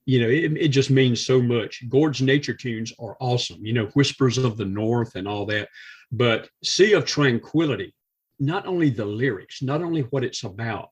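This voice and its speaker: Husky male drummer, enthusiastic podcast guest. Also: mid-range voice. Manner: emphatic, enthusiastic. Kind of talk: enthusiastic casual music talk.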